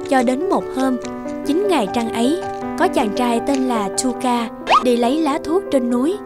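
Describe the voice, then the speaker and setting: A woman narrating a scripted children's fairy tale with expressive storytelling. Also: high-pitched, moderate pace, loud music behind the voice.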